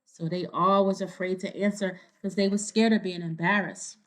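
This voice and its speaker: A woman reading a children's storybook aloud, pausing to explain things and check in with young listeners. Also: medium pitch, fairly even intonation.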